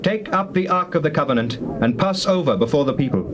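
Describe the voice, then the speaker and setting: A lively-pitched male voice acted as a solemn Israelite leader in an audio Bible drama. He declaims commands with authority, over clear background noise. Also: brisk, natural voice. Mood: commanding. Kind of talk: dramatized King James Bible acting.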